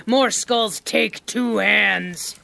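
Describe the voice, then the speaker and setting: A gravelly, heavily creaking half-orc warrior woman, crude and menacing. She drags out her broken sentences slowly. Loud music plays underneath in this fantasy audio drama. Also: a little high, heavily acted. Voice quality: gruff, gravelly. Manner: blunt broken grammar.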